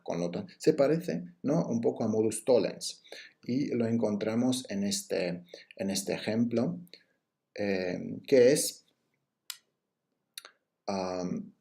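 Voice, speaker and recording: A man's voice: on the low side, gravelly, dark tone. A man giving a recorded university lecture on logic, explaining step by step with frequent fillers and restarts.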